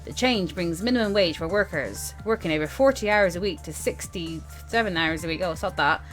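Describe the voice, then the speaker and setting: A woman's voice: fairly low pitch, normal speed, fairly bright tone, rough recording. A woman hosting a casual let's-play, reading game text aloud with informal asides and stumbles over the written words. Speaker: gaming video host. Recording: music behind the voice.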